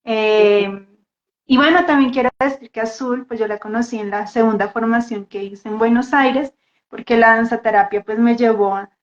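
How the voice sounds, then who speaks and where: A woman with a Bogotá Colombian accent introduces herself casually and reflectively, halting and repeating words as she goes. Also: fairly high pitch, fairly even intonation. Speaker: guest dance therapist. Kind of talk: casual personal introduction in a live talk. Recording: echoey room, dull muffled audio.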